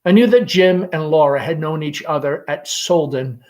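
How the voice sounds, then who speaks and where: A man reading a play aloud in deliberate, expressive scripted narration. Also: a little high. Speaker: reader, principal.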